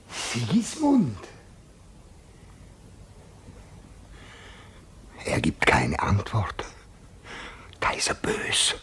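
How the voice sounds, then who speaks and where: An actor in a German radio play voicing a simple, good-hearted jailer, coaxing and fussy, with colloquial talk that moves between hushed murmurs and exclamations. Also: male voice, medium pitch, full character voice. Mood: wary, fussy.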